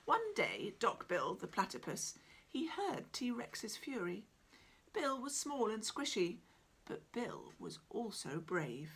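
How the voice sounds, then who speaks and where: A woman in her forties narrating her children's picture book in a dramatic, deliberate, expressive style, indoors at home. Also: medium pitch, vocal fry, slightly rough. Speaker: author reading own picture book. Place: small cluttered room at home, indoors.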